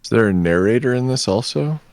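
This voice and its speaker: A man's voice: medium pitch, expressive, brisk, darkish tone. A man with an American accent chatting casually as a guest performer on a livestream. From United States. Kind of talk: casual chat.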